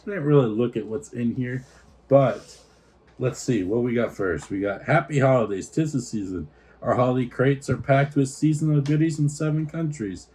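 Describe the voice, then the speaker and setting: A man's voice: mid-range voice, warm tone, a little hiss or hum. A man hosting a casual snack taste-test, reading out product notes and stumbling over foreign names. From United States.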